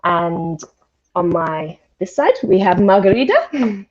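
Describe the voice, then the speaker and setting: A woman's voice: mid-range voice, big pitch swings, slow, darkish tone. A woman hosting an informal live online panel in a chatty, conversational way over a video call. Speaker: host, volunteer science event presenter. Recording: dull, lo-fi video call audio.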